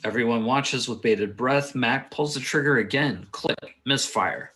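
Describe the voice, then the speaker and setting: A man's voice: medium pitch, measured pace, bright, forceful tone, poor audio. A man reading screenplay stage directions aloud at a table read, in short, clipped phrases.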